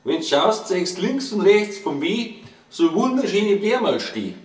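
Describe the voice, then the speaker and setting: Bavarian man, lively author reading comic rhyming tales, playful and wry, roomy distant recording. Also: sits high, measured pace. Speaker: author reading from his own book. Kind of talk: comic verse storytelling in dialect, read aloud live. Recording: roomy live recording, distant mic, faint noise.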